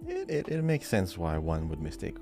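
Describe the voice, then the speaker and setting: A man's voice: low-pitched, huge pitch swings, moderate pace. A man co-hosting a podcast and running a quiz in casual, conversational talk. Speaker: podcast co-host and quizmaster. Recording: faint music behind the voice. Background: faint music.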